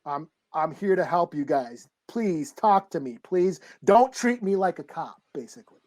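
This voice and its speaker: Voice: medium pitch, animated, normal speed, a little mellow. A podcast co-host chatting and debating casually, in informal, slangy language with plenty of swearing. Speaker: podcast co-host discussing comic books.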